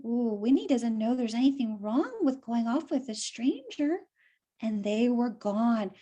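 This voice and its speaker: Woman, high voice, children's read-aloud storytelling, simple explanations, questions to young listeners. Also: expressive, measured pace, dark tone, highs cut off.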